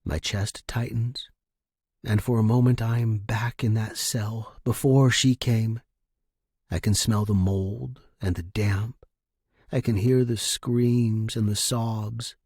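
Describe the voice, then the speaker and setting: A man reading first-person fiction as a narrator, in a measured, deliberate and dramatic way. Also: on the low side, fairly even intonation, darkish tone, slightly rough, no room sound, clean studio sound. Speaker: story narrator.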